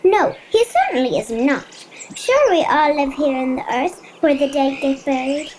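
A woman's voice: high-pitched, animated, moderate pace, background noise. A woman voicing a fussy little female bluebell flower in a children's audio drama. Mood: chatty.

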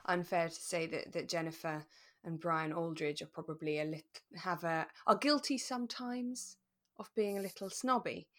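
A British woman co-hosting a relaxed podcast chat about a radio drama, chatty, articulate and quick to giggle. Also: fairly low pitch. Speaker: podcast co-host.

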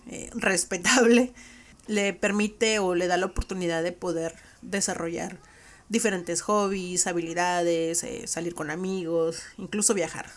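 A woman with a Mexican accent hosting a podcast, recapping a conversation in a reflective, conversational way. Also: fairly low pitch. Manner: reflective, conversational, frequent "¿no?" tags. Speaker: podcast host.

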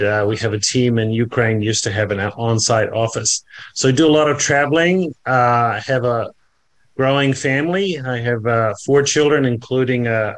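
Friendly man from New Zealand, guest. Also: medium pitch. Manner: friendly, fillers and repeats. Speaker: company founder, podcast guest. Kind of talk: podcast interview.

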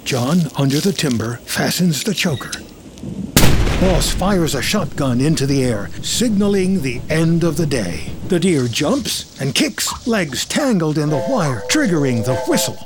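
A man's voice: on the high side, very animated, a little slow, over music. A man reading scripted screenplay narration as the narrator of a drama.

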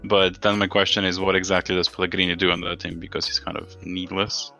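A man's voice: low-pitched, little pitch movement, fast talker, vocal fry, pushed and bright, highs cut off, faint music behind. A man hosting a casual football podcast, rambling and frustrated, swearing freely. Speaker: podcast host.

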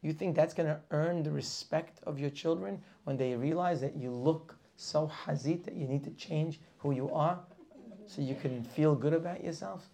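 A man's voice: fairly high pitch, normal speed, gravelly, warm tone. A man teaching a weekly Torah class, preaching with passion, firing rhetorical questions and sometimes dropping to a near whisper.

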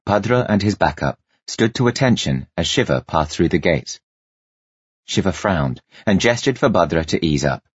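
A man reads a fantasy novel as an audiobook narrator, with a very low voice. His delivery is measured and rather husky, and the sound is slightly dull. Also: lively intonation, no echo.

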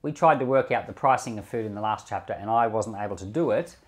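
A man speaking as a Bible podcast host, with measured, emphatic phrasing in devotional reading and commentary. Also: fairly low pitch.

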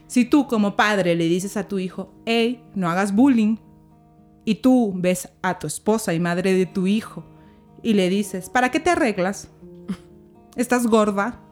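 A young woman, a podcast guest speaking in a thoughtful, measured way and asking rhetorical questions. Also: mid-range voice, darkish tone, soft background music. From Peru. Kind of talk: reflective conversation.

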